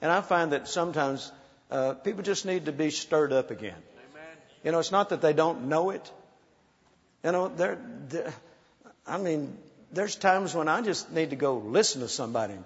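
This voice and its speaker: High-voiced preacher in his sixties. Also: animated, normal speed. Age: 60s. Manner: exhorting, calls for praise, playful storytelling. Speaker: pastor. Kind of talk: sermon. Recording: muffled sound in a large reverberant hall.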